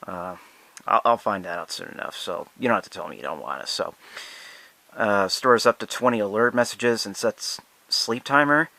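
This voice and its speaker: An American man reviews a product in an unscripted, casual way. His voice sits fairly low but has a clearly bright, forward edge. He speaks haltingly, pausing and stretching things out as he demonstrates. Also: no room sound. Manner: halting, hesitant delivery with drawn-out pauses.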